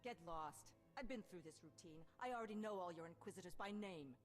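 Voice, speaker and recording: An actress playing a tough, defiant assassin held prisoner in a video game, blunt and scornful. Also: female voice, fairly low pitch, brisk, natural voice. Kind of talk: video game character acting. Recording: faint music behind the voice.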